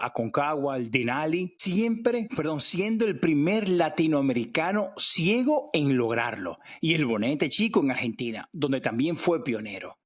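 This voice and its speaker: Enthusiastic podcast host, a man. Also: a little high. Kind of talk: podcast interview hosting.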